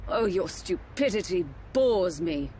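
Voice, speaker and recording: A haughty royal villainess from a fantasy game. She draws her words out very slowly in a lowish, disdainful, imperious voice, with faint ambience behind her. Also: expressive, darkish tone, slight background noise. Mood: contemptuous. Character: haughty, scheming royal consort, cold villainess. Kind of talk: video game cutscene acting.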